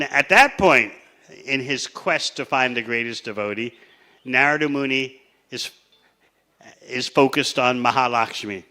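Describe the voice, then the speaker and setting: A man's voice: mid-range voice, bright, forceful tone. A man teaching a scripture class in a conversational way, asking his listeners questions and sometimes laughing. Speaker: spiritual teacher.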